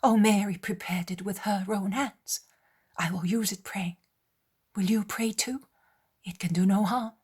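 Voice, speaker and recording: A woman voicing a gentle, motherly Greek woman who soothes a wounded man in an audiobook. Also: medium pitch, fairly even intonation, a little slow, husky, pitched above the performer's own voice. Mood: soothing. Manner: soothing, reassuring.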